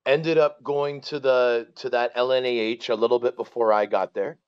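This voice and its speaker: A man in his forties with a Canadian accent, telling stories casually as a podcast guest, colloquial and animated, often checking that the listener follows. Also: medium pitch, normal speed, clean tone, dry recording, dull audio. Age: 40s. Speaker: former pro hockey player, interview guest.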